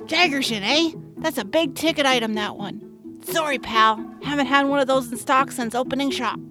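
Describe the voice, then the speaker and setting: A woman's voice: high voice, normal speed, over music, close to an everyday voice. A woman voicing a sassy, teasing market stallkeeper in a fantasy audio drama, with a folksy way of talking. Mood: chummy, wry. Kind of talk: family audio drama acting.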